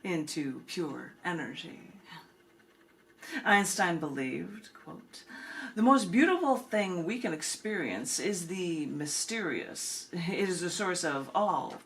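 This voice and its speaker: A woman reading spoken-word poetry in measured, deliberate phrases with dramatic pauses. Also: on the low side, expressive, fairly bright tone, a little hiss or hum. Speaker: poet reading own work, host of the reading.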